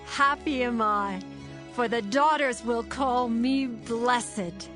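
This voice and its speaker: A woman playing a proud, aggrieved biblical wife and mother in a dramatised audio Bible, speaking slowly and emphatically. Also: fairly high pitch, music in the background. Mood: proud, joyful.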